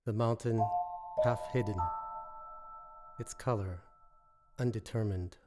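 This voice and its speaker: A man reciting his own poetry in a measured, deliberate way, pausing between phrases. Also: on the low side, fairly even intonation, loud music behind the voice. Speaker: poet reading own work. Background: musical score.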